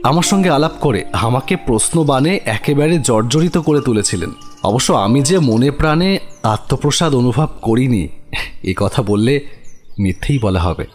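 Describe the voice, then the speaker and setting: A man's voice: mid-range voice, expressive, normal speed, a little mellow. A man giving scripted narration of a horror story. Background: music.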